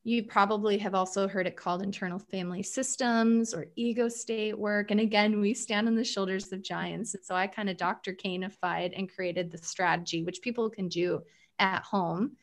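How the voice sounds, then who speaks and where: A woman therapist guest in an interview, explaining coping methods in a lively, animated way. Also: medium pitch, normal speed. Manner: animated, explanatory, acts out quoted thoughts. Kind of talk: interview conversation.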